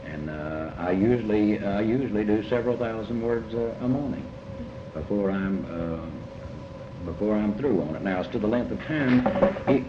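A man with a Kentucky accent giving reflective, folksy interview answers as a novelist, halting and deliberate, often repeating his phrases. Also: on the low side, animated. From Kentucky, United States. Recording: muffled, noisy, echoey old tape.

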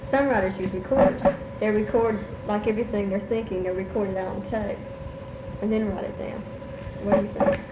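A woman's voice: medium pitch, brisk. A woman interviewer asking informal questions in a plain, tentative way, often rephrasing as she goes. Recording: muffled, echoey, noisy amateur interview tape.

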